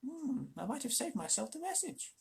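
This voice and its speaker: A reader voicing a sly, fawning jackal from a storybook in a very high, strained voice, mocking and wheedling. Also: big pitch swings, normal speed, creaky, full character voice. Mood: spiteful. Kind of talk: storybook reading.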